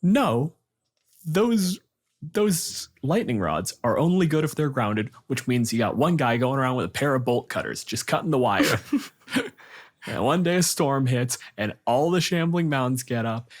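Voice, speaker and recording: A man hosting a casual tabletop gaming discussion, riffing in an animated way and acting out imagined quotes. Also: mid-range voice, a little slow.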